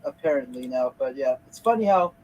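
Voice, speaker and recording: A man hosting a reaction video, speaking in halting bursts with many restarts. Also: medium pitch, lively intonation, darkish tone, rough recording. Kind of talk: casual reaction commentary.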